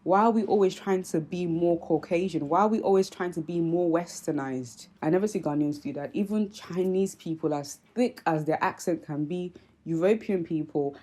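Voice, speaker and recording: A woman's voice: sits low, mellow. A woman in her twenties with a mixed Nigerian and British accent, speaking earnestly and candidly to camera in an opinion vlog, with frequent fillers and restarts. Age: 20s. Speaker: YouTuber.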